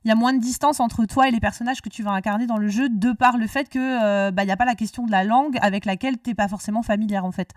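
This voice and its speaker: A woman's voice: a little high, steady tone, fast talker, hardly any pauses, no room sound, clean studio sound, far from the mic. A woman hosting a casual discussion, talkative and rambling, often restarting her sentences. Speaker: podcast host.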